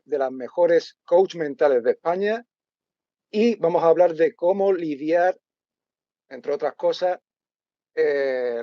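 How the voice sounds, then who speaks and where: A man's voice: on the high side, no echo. A man hosting an interview, talking casually and hesitantly with many restarts. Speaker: host. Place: home in Málaga. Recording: dull lo-fi sound.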